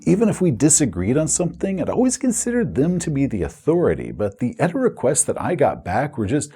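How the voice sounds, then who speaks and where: A man's voice: a little high, wildly swooping pitch, a little fast, mellow, breathy edge. A man recounting his own career as an author and video host in a conversational, candid, emphatic way. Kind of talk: personal retrospective storytelling to camera.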